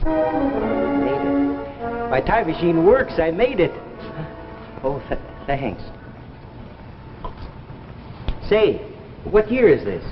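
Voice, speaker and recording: An actor playing an eager, anxious young lab assistant who travels through time, earnest and stammering, in 1950s sci-fi drama style. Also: male voice, high voice, very animated, takes it slowly, long pauses, full character voice. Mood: breathless, amazed. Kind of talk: 1950s radio-era sci-fi drama acting. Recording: dull vintage broadcast, long reverb, music behind.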